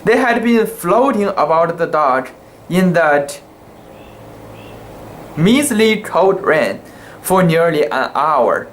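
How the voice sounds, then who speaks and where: An amateur narrator giving a halting, theatrical reading of a literary sea story, sometimes stumbling over words. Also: medium pitch, lively intonation, a little hiss or hum. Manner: halting, theatrical delivery with stumbles and self-corrections.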